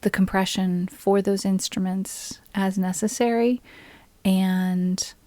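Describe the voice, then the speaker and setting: A woman streamer chatting casually with her live audience, relaxed and friendly, close to a USB microphone. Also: mid-range voice, no echo. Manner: slow, relaxed and friendly, greets viewers by name, with small laughs. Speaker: streamer and ukulele player. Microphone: USB microphone on a boom arm with a pop filter.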